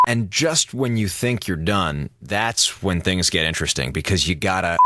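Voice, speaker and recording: A man's voice: low voice, fairly bright tone, a little hiss or hum, full character voice. An American man playing a sarcastic, grumbling space station communications officer, flippant and exasperated, delivering a radio drama monologue. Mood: mock grave. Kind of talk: audio drama monologue, mock radio address to schoolchildren.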